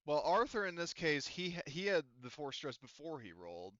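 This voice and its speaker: A man running a tabletop roleplaying game as game master, explaining rulings in a halting, self-correcting way. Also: a little high, very animated, fairly bright tone, no echo, muffled recording.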